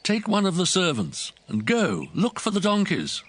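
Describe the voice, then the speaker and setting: A man's voice: high voice, huge pitch swings, normal speed, fairly bright tone, slightly rough, no room sound, heavily acted. An actor playing a commanding older father in an audio drama, giving urgent instructions. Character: Saul's father, commanding wealthy older man.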